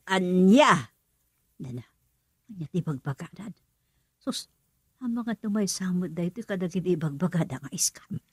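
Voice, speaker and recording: A woman's voice: lowish voice, lively intonation, a little slow, long pauses, husky, dry recording, clean studio sound. A woman acting a flustered, suspicious village mother in a rural comedy radio drama, exclamatory and scolding. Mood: suspicious, irritated.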